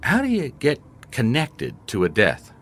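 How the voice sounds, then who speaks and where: An actor playing a wry, sardonic, world-weary private detective who narrates a noir radio mystery in a folksy, deadpan way. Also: male voice, mid-range voice, a little slow. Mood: dry, firm. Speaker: detective and first-person narrator.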